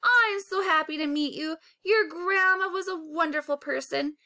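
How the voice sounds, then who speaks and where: A woman's voice: extremely high pitch, normal speed, bright, forceful tone, no room sound, studio quality, pitched above the performer's own voice, full character voice. A woman voicing a kindly, comforting old woman in a children's storybook read-aloud. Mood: comforting, tender.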